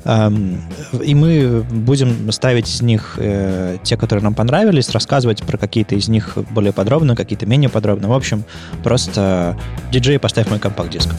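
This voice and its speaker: Low-voiced, hesitant man podcasting. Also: normal speed, a little mellow, soft background music. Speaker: music podcast co-host. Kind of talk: casual chat.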